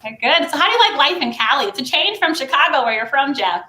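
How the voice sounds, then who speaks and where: Energetic woman hosting sports radio, talks fast, high-ish bright voice, bit of room echo. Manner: energetic, enthusiastic, laughs often. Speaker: sports talk radio host. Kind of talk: upbeat radio interview.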